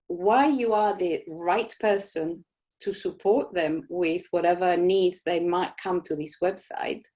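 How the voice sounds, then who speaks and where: Chatty woman from Argentina, lowish voice. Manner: warm, chatty, many "you know" fillers. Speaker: host of a live Q&A for business owners. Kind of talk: casual live Q&A session.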